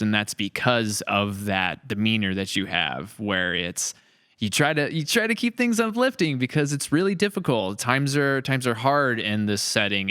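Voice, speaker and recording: A man's voice: lowish voice, sharp tone, smooth, no echo, clean studio sound. A man hosting a casual podcast interview, talking in a conversational, explanatory way. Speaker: podcast host and series creator.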